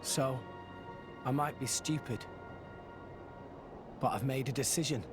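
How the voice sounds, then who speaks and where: A man's voice: medium pitch, measured pace, natural voice. An actor playing an earnest, determined teenage boy hero in a video game cutscene. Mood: solemn. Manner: heartfelt, resolute. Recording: loud background music.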